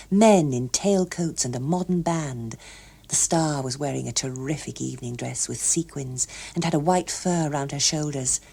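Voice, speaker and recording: A woman's voice: deep voice, gravelly, darkish tone, breathy edge. A woman with an English accent reading a story expressively as an audiobook narrator.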